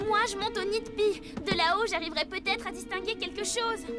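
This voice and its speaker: A feisty young ninja schoolgirl, very high in pitch and quick to sarcasm. The cartoon performance is sharp-tongued and ironic, set over loud music. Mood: eager. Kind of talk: French cartoon dubbing.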